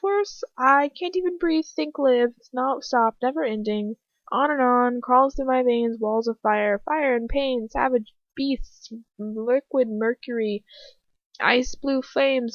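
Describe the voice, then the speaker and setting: A young female prisoner in torment, acted out by a woman narrator, high-pitched and overwrought. She gasps out her anguish tearfully yet defiantly in a storybook drama, on a dull, dry recording. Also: creaky, slightly rough, no echo, full character voice.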